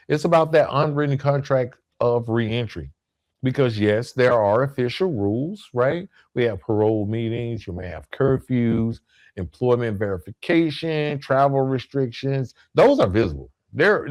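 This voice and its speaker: Emphatic man giving a motivational podcast monologue. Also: medium pitch. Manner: emphatic, deliberate, repeats key phrases for weight; conversational check-ins like "right?" and "okay?". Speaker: podcast host.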